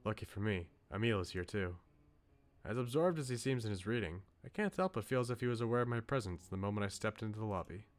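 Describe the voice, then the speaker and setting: A man's voice: lowish voice, fast. A man streaming a visual novel, reading it aloud with casual asides and often stumbling over lines and correcting himself.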